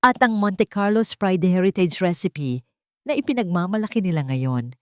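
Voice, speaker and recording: A woman's voice: on the low side, expressive, normal speed, a little mellow, dry recording, close to an everyday voice. A woman narrator plays a humble, dignified Filipina heroine of an audio melodrama. She speaks politely and earnestly, in a close, dry recording. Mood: nervous but resolute. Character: humble, dignified wronged woman standing up for herself.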